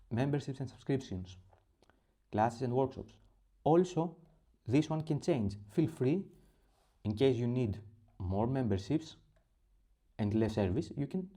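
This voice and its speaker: A man from Greece speaking English as his second language with a Greek accent, walking through a spreadsheet template in a halting tutorial full of pauses and fillers. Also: mid-range voice, mellow. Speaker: template creator. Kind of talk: screen-recorded software tutorial.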